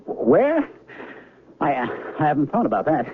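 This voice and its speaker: Agitated scientist on hissy vintage radio. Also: male voice, on the high side, wildly swooping pitch, narrow, tinny audio. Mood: flustered. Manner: agitated, halting, stammering. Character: cynical, bewildered male scientist pleading for answers. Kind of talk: 1970s radio drama acting. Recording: thin band-limited vintage radio recording, hissy.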